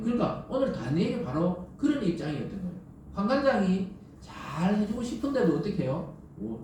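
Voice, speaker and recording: A man's voice: a little high, warm tone. A man with a Gyeongsang Korean accent preaching a conversational sermon as a pastor, explaining with rhetorical questions and everyday dialogue. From South Korea. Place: church sanctuary during Sunday service. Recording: echoey church, distant mic, faint noise.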